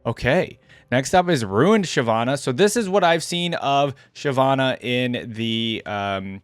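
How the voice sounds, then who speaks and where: A man's voice: mid-range voice, animated, measured pace, no echo. A man giving casual, off-the-cuff reaction commentary as a video host, thinking aloud as he goes. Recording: music playing behind the voice.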